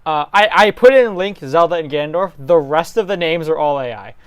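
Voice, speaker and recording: Smooth, clear voice in casual chat. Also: medium pitch, animated, brisk. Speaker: co-host organizing a dramatic story reading.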